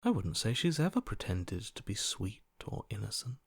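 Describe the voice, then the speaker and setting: A man's voice: on the low side, very animated, normal speed, husky, no echo, studio quality, exaggerated voice. A man voicing a cold, amoral voice inside someone's head in a video game, detached, matter-of-fact and dryly sardonic. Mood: detached, philosophical. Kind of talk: video game character acting.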